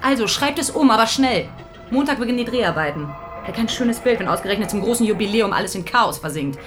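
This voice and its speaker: A woman's voice: fairly high pitch, lively intonation, quick pace, over music, close to an everyday voice. An actress playing a cool, ambitious, status-minded woman TV executive in a naturalistic radio drama. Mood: cold, firm. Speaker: TV production executive.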